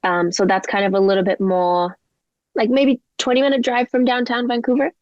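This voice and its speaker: A woman's voice: fairly high pitch, flat delivery, brisk, dry recording, highs cut off. A young woman with a mix of Canadian and English accent, a singer chatting as a guest in a rambling, casual way. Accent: mixed Canadian and English. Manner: chatty, rambling, lots of likes and ums. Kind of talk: casual interview chat.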